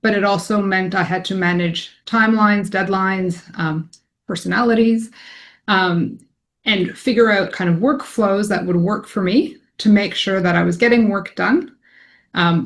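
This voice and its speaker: A woman's voice: mid-range voice, fairly even intonation, moderate pace. Canadian woman, forties, statistician presenter; chatty, self-deprecating, lots of ums. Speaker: academic statistician presenting a talk. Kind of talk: informal presentation to colleagues.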